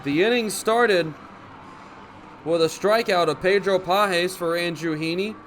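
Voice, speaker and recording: A young man calling baseball play-by-play for radio in crisp, descriptive phrases, as live sports commentary. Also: sits high, a little slow, slight background noise. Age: young adult. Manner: crisp, descriptive phrases with excited calls on big plays. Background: ballpark ambience.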